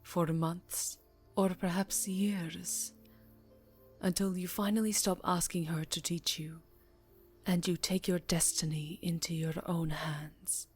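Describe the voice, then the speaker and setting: A woman playing a seductive, aristocratic vampire countess in an ASMR roleplay, teasing and formal, with a breathy voice that often drops to a whisper. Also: on the low side, level tone, slow. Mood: persuasive, conspiratorial. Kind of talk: ASMR roleplay, slow intimate acting. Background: music.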